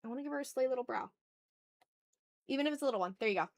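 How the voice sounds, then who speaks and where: Playful, higher-pitched woman streaming casually. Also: racing, vocal fry. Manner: animated, slangy, sweary, teasing. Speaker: livestream host and artist. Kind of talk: casual art livestream chat, reading and answering chat messages.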